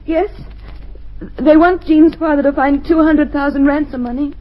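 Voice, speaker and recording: A woman's voice: high voice, steady tone, moderate pace, lightly acted. A woman playing a worried, earnest wife in an old radio drama. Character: anxious young wife of kidnap victim. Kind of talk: 1940s radio acting. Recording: dull old radio recording with faint hiss.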